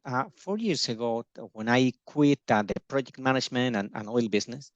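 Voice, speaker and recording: A middle-aged man originally from Colombia, an education agency founder talking in an interview, pausing often with fillers and restarting his sentences. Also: medium pitch, no echo, lo-fi sound. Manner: hesitant, many fillers and restarts. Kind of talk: casual video-call interview.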